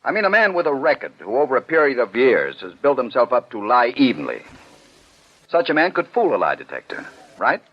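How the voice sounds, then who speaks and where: A stern official in an old radio play, medium in pitch and widely inflected. He argues with skeptical authority, in muffled 1940s broadcast sound. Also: wildly swooping pitch, brisk. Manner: authoritative, argumentative. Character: stern, skeptical, unbending male police commissioner. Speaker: commissioner.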